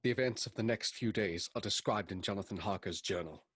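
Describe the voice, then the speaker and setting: An actor playing a sober narrator of a gothic tale in a scripted radio play. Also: male voice, lowish voice, a little fast, a bit bright, husky, dry recording. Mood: grave.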